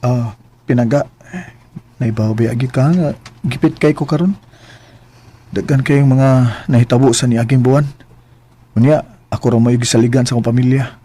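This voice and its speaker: A man's voice: medium pitch, normal speed, long pauses, dark tone, husky, lightly acted. A man playing a young, earnest son and boyfriend in an amateur radio drama, often apologizing. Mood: apologetic.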